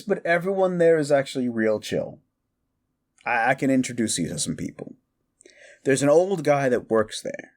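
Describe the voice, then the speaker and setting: A man's voice: fairly high pitch, a little mellow. A man playing a quirky, mischievous necromancer in a comedic audio roleplay, rambling eagerly and casually with slangy stammers. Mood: sheepish, reassuring.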